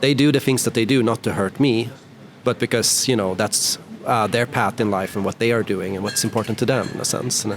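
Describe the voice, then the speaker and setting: Host, Swedish-native man, lowish voice, loose and chatty, faint noise. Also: a little fast, few pauses. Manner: casual, many fillers like "you know" and "right". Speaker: host, interviewer. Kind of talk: conversational interview.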